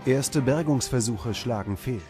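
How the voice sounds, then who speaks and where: A man reading scripted documentary narration in a measured, serious way. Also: medium pitch. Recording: music under the narration. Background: background music.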